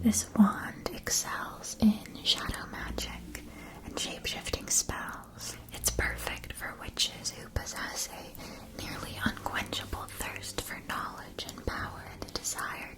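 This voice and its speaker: A woman voicing a calm, knowing witch-school wand seller in a whispered, measured and formal ASMR roleplay. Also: lightly acted, rough recording. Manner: measured, deliberate, formal salesmanship.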